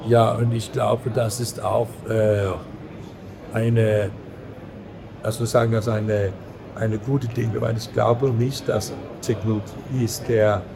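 A man from Belgium, a podcast guest who speaks halting with many fillers and restarts. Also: on the low side, flat delivery, vocal fry. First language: not German. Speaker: podcast guest, editor of a print-industry film channel. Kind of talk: casual interview chat. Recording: crowd noise behind.

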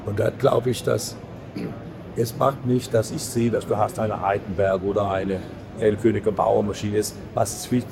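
A man from Belgium, a podcast guest who speaks halting with many fillers and restarts. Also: lowish voice, level tone, vocal fry. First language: not German. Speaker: podcast guest, editor of a print-industry film channel. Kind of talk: casual interview chat. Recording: crowd noise behind.

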